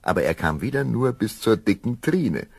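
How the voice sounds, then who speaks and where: A man narrating a scripted fairy tale as storyteller, expressive and unhurried, speaking directly to his listeners. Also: lowish voice, creaky.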